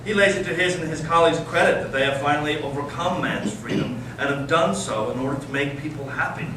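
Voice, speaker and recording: A man playing a brooding, cynical intellectual in readers theater, speaking with measured, ironic intensity. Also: on the high side, lightly acted. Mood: defensive, wry. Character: brooding, cynical intellectual brother, a provocative storyteller. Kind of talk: staged readers theater dialogue. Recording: echoey noisy room, distant microphone.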